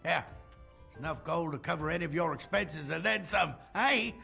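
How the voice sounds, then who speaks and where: An actor playing a folksy farmer and merchant in a fantasy game, with a gravelly voice and colloquial, hearty manner. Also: male voice, on the high side, moderate pace, sharp tone, soft background music, heavily acted. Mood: hearty, pleased. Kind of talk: fantasy video game character dialogue.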